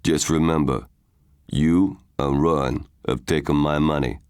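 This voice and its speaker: A man acts out a crime boss with an extremely low voice, commanding and full of slow menace. He speaks deliberately, like a villain in an audiobook thriller who fixes fights. The sound is close and dry. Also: steady tone, a little mellow, smooth, no room sound. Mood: threatening.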